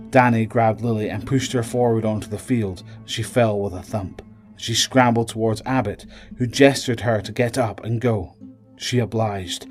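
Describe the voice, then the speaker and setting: A man's voice: mid-range voice, darkish tone. A man delivering dramatic scripted narration for an audio drama.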